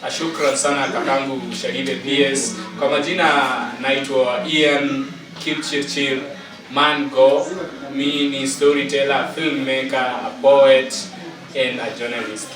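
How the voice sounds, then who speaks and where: A man's voice: mid-range voice, fairly even intonation, unhurried, music in the background. A nineteen-year-old man with a Kenyan accent, a guest speaking earnestly in a casual interview and often listing things. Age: young adult. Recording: echoey room from far off with chatter behind.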